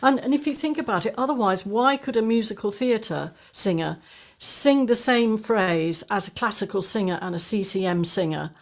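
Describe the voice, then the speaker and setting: An older woman voice coach explaining singing technique in a lively, explanatory way, recorded in a home studio. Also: medium pitch, normal speed. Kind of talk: teaching conversation on singing technique.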